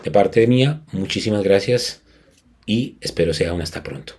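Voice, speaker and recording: A man from Colombia gives a conference lecture as a music educator, earnestly stressing his points and often restarting his sentences. His voice sits low and sounds mellow and warm. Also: animated, moderate pace, husky. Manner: earnest, emphatic, with frequent restarts. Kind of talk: academic conference talk.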